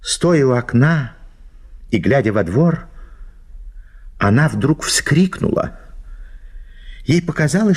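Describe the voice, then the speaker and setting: Very animated theatrical man narrating. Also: mid-range voice, vocal fry, slightly rough. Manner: expressive, theatrical storytelling. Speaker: narrator of an audio play. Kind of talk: dramatic literary short-story reading.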